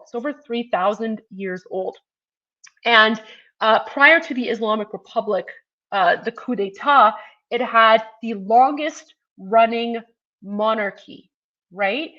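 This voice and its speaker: A woman's voice: a little high, fairly bright tone, lo-fi sound. A woman in her forties hosting her own show, talking passionately and emphatically in casual commentary with many fillers and repetitions. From Iran. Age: 40s. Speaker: show host.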